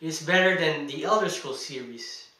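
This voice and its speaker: Bright-voiced man in an echoey room. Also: a little high, moderate pace, recorded from a distance. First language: Tagalog. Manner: chatty and emphatic, laughing between points. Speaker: gaming vlogger. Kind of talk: casual video review. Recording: echoey room, mic set back.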